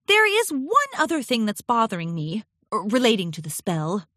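A woman voicing an anxious, eager young pony princess in a children's audiobook, talking in a nervous, gushing, halting way. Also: a little high, wildly swooping pitch, a bit bright, dry recording, studio quality, full character voice. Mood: anxious rambling.

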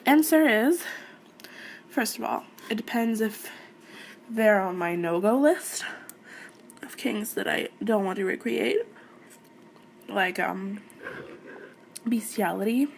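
A young woman with French as her first language rambles casually at a slow pace. Her voice has a gravelly fry, and she is candid and hesitant as she answers questions. There is faint noise behind her. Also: mid-range voice. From Quebec, Canada. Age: late teens, young adult. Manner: giggly, hesitant, candid, self-interrupting. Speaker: audio creator answering listener questions.

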